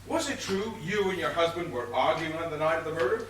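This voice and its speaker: A man's voice: fairly high pitch, lively intonation, fairly quick, a bit bright, a little hiss or hum. An actor on stage playing a veteran police inspector, stern, formal and exasperated as he interrogates a suspect. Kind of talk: stage comedy acting. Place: stage of a theatre. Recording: echoey theatre, distant mic.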